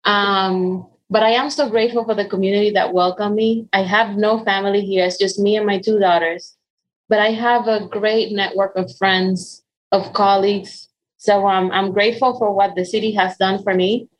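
A woman's voice: medium pitch, steady tone, normal speed, low-quality recording. A woman in her forties from the Dominican Republic with a Dominican Spanish accent, telling her story in an interview, earnest and full of ums and restarts. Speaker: interview guest. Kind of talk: conversational interview.

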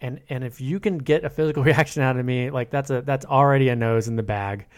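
A man's voice: mid-range voice, fairly even intonation, fast, background noise. A man on a podcast panel, talking casually about film.

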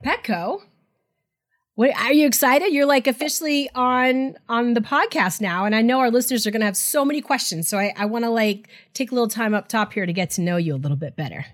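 A woman hosting a podcast, interviewing her new co-host in a lively, laughing manner. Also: fairly high pitch, fairly quick.

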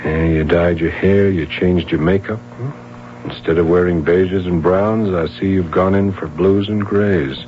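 An actor playing a probing, blunt yet courteous police detective sergeant with an American accent in a radio drama. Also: male voice, low voice, fairly even intonation, unhurried. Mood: gentle, knowing. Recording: dull, hissy, humming vintage radio broadcast.

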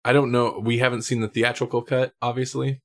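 A man hosts a podcast in a medium-pitched voice. He talks casually about film in a loose, conversational style. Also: little pitch movement, fairly quick. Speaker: podcast host.

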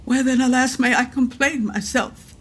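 An actress playing a grieving older widowed duchess in a Shakespearean radio drama, speaking husky, emotional formal verse. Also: female voice, high-pitched, fairly even intonation, moderate pace. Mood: grieving, desolate.

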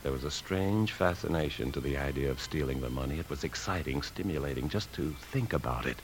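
An American actor playing a scheming, secretive married bank man in a 1950s radio drama, brooding and deliberate. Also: extremely low pitch. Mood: brooding, obsessed. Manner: brooding, deliberate, hesitant when lying. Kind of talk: 1950s radio drama acting and confessional narration. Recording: 1950s radio broadcast recording.

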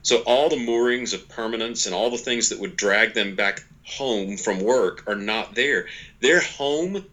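Forceful low-voiced man in his forties. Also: measured pace, low-quality recording. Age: 40s. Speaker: podcast guest, author and organization leader. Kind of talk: conversational interview, explaining workplace ideas.

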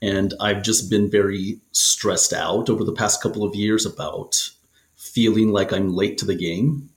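A man's voice: lowish voice, fairly even intonation, a little slow. A man in his late thirties calling in to a podcast, friendly and candid in casual conversation. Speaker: caller. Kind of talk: casual phone-in chat. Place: remote call into a podcast. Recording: roomy, echoing call-in line.

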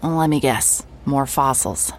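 An actress playing a hard-hearted private investigator in a noir audio drama, dry, sardonic and unimpressed. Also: female voice, rumbling low, takes it slowly, vocal fry, a little hiss or hum, full character voice. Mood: curious, dry.

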